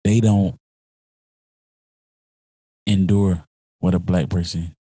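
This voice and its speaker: A man's voice: sits low, fairly even intonation, slow pace, dark tone, husky, no room sound, studio quality. A man in a casual podcast conversation, talking in a heated, rambling way and often saying "you know what I'm saying.".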